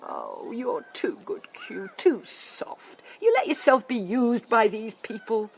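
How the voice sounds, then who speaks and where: A poor English clergyman's wife played by a woman, fierce and full of feeling. Her high voice swoops dramatically in the manner of a period radio play. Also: lively intonation, normal speed, slight background noise, natural voice. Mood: bitter, determined. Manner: forceful, emotional, scornful.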